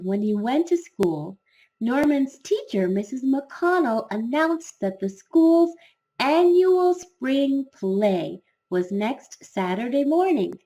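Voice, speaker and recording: Female children's storybook narrator: slow, expressive delivery with big swings in pitch and dramatic emphasis. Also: low-quality recording.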